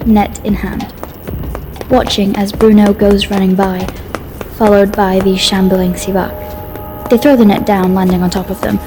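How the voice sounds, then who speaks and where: A woman's voice: mid-range voice, flat delivery, unhurried, noisy background. A woman giving scripted narration for a horror story as its narrator. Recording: music behind the voice.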